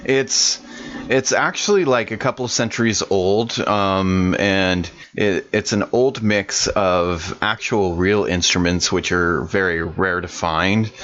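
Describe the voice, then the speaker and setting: Very deep-voiced man, rambling. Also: slow pace, fairly bright tone, highs cut off. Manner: rambling, lots of ums and uhs. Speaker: player. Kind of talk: describing his character's actions in a tabletop game.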